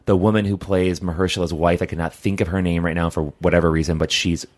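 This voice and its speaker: Very deep-voiced man chatting casually. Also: fast. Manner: relaxed, rambling with many fillers and restarts. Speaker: podcast co-host. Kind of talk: casual chat recommending shows.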